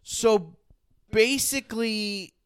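Deep male-sounding voice, smooth, dry close sound, informal podcast host. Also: drawn-out words, no echo. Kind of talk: casual podcast chat.

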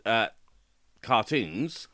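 A man's voice: lowish voice, big pitch swings, drawn-out words, crowd noise. A man with a British accent co-hosting a casual podcast chat. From United Kingdom. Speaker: podcast co-host.

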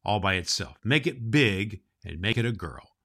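A man's voice: on the low side, expressive, normal speed, a bit bright. A man playing a jolly, kindly old Santa Claus, reading and hosting for children with emphatic affection. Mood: reading a letter. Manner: emphatic, affectionate, addressing boys and girls. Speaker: storybook reader and show host.

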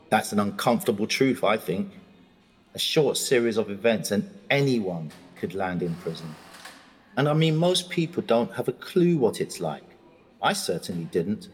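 An actor playing an educated middle-aged prisoner, reflective and indignant, in an articulate, confiding audio drama monologue. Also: male voice, lowish voice, slightly rough, in a large hall. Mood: reflective, regretful.

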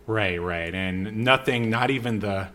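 A man talks as a podcast co-host, walking through a film one scene at a time. He reads from notes in a casual, halting way and breaks off for joking asides. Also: on the low side, a bit bright. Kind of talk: casual scene-by-scene movie recap.

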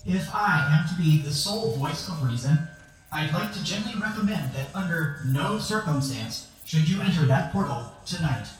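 An actor voicing a prim, cautious lab computer in an audio drama, speaking formally with dry, measured politeness. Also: medium pitch, lively intonation, lightly acted. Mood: dry, cautionary. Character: prim, cautious artificial intelligence lab machine. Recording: very echoey, music behind. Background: music.

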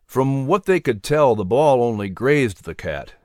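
An audiobook narrator playing a calm, kindly colonial minister who speaks as a gentle male mentor. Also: medium pitch, unhurried, dry recording, clean studio sound, close to an everyday voice. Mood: informative.

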